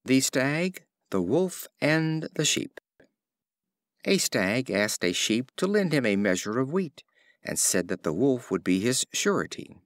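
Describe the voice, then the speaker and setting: A man narrating fables as an audiobook reader, in an expressive storytelling style. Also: medium pitch, measured pace, dry recording, studio quality.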